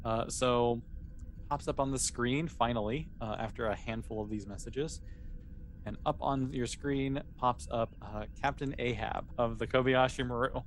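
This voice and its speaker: A man's voice: mid-range voice, steady tone, moderate pace, slight background noise. A man running a tabletop role-playing game, narrating off the cuff in a conversational, rambling way. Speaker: game master.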